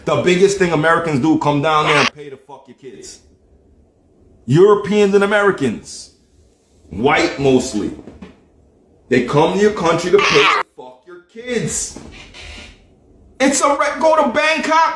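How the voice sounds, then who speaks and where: A man's voice: fairly high pitch, animated, normal speed, fairly bright tone, slight background noise. A man from Jamaica, delivering a heated, profane monologue on a livestream and firing off rhetorical questions. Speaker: livestream host. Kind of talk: ranting monologue. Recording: roomy echo.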